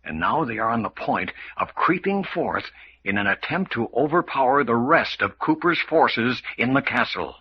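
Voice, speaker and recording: A man's voice: mid-range voice, very animated, moderate pace, breathy edge. A man narrating an old-time radio drama as announcer in a formal, dramatic style. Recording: muffled, noisy radio recording.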